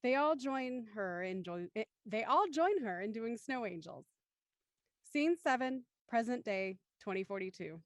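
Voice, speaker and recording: A woman's voice: a little high, lively intonation, unhurried, no echo, clean studio sound. A woman narrating scripted stage directions for a live drama reading.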